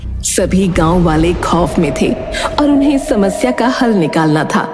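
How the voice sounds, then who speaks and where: A woman's voice: fairly low pitch, expressive, unhurried. A woman giving scripted, dramatic narration of a cartoon story. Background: music.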